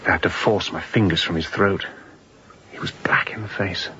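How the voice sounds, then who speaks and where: An actor playing an exhausted, intense, unrepentant fugitive chief mate in a radio drama, speaking in clipped, grim sentences. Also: male voice, low voice, fairly even intonation, normal speed, bright, forceful tone, in a whisper, lo-fi sound. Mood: bitter, intense. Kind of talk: radio drama acting, confessional storytelling.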